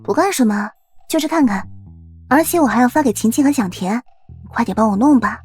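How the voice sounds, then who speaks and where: A woman's voice: fairly high pitch, expressive, fairly quick, a little mellow, slightly rough, faint music behind. A woman playing a smitten, eager young college girl in an audio drama, coy and a little pushy. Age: young adult.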